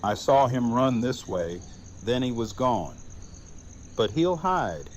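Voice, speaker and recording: A man's voice: medium pitch, very animated, slow pace, a little hiss or hum. Man acting a grim fighter, swinging intonation. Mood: grim, urgent. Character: grim, resolute male fighter, wary and blunt. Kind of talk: fan audio drama acting.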